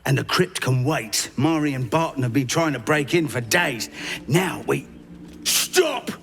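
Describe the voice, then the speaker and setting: A man playing a short, irritable, bossy gang boss in a fantasy video game, loud and exasperated. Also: mid-range voice, expressive, unhurried, creaky, slight background noise. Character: blustering, irritable short male treasure-hunter gang boss.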